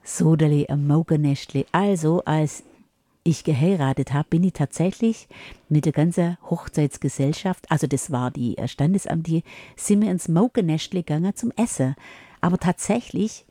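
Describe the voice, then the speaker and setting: A woman's voice: deep voice, normal speed, warm tone, dry recording. A middle-aged woman from Upper Swabia with an Upper Swabian accent, chatting as an interview guest and hesitating with many fillers. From Upper Swabia, Germany. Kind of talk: casual interview chat.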